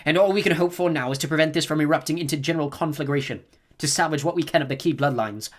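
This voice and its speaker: A man's voice: fairly high pitch, fast talker, pitched above the performer's own voice, natural voice. A man doing a stern, imperious old reverend mother. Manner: sharp, scolding, commanding. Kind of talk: audiobook character reading.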